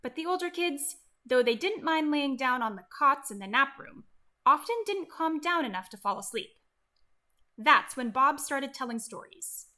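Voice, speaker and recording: A woman narrating a scripted read-along for children as an expressive storyteller. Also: high-pitched, moderate pace.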